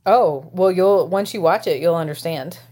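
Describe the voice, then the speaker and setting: A woman's voice: on the low side, moderate pace, dark tone. A woman with an American accent chatting casually as a podcast co-host. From United States. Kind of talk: casual chat.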